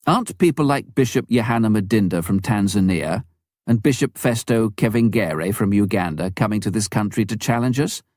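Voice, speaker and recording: A man reading a memoir aloud as scripted narration, in a measured, clearly phrased way. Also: fairly low pitch, animated, darkish tone, no room sound, clean studio sound. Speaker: audiobook narrator.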